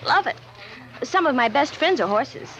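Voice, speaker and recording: A coy, animated 1940s radio secretary. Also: female voice, high voice, moderate pace. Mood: coy, teasing. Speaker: actress in radio drama. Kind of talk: 1940s radio detective drama. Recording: muffled, noisy vintage radio recording.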